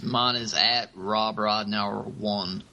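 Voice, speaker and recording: A man co-hosting a podcast, chatting casually with halting, hesitant phrasing. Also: fairly low pitch, steady tone, sharp tone. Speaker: podcast co-host.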